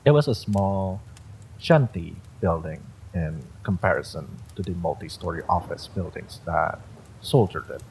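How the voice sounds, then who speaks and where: A man narrates a first-person horror story in a low voice with plenty of gravelly creak. He reads from a script as a storyteller. Faint noise sits behind him. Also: lively intonation, a little slow, darkish tone, rough recording.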